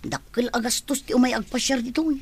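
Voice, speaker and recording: A woman's voice: on the high side, a little fast, darkish tone, slightly rough. A woman playing a self-interested wife in an Ilocano radio drama. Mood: scheming.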